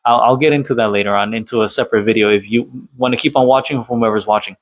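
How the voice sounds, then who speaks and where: A man's voice: mid-range voice, fairly even intonation, fast talker, low-quality recording. A man from Colombia giving casual, opinionated commentary as a channel host, rambling with many fillers and restarts. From Colombia, Cali. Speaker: channel host reacting to a clip.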